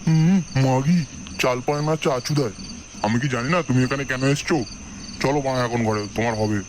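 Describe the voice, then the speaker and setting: A man's voice: medium pitch, a little fast, noisy background, lightly acted. A man voicing a jealous, foul-mouthed Bengali husband in a comedy cartoon, scolding with sarcasm and menace. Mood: menacing.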